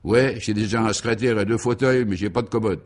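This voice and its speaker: A man's voice: on the low side, brisk, close to an everyday voice. Man doing a brash, hearty gold-rush adventurer. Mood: fervent. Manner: hearty, bossy, colloquial.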